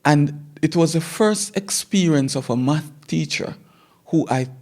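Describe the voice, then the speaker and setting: Man, Jamaican accent, forties, gravelly voice, measured storytelling, repeats words. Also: fairly high pitch, darkish tone. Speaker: guest teacher. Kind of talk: conversational interview.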